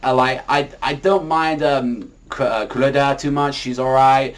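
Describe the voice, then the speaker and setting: A man with a medium-pitched voice talks as an anime reviewer in a casual, unscripted style. He rambles and repeats himself, leaning on filler words as he works through his opinions. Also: steady tone, unhurried. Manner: rambling, repetitive, full of "like" as a verbal habit.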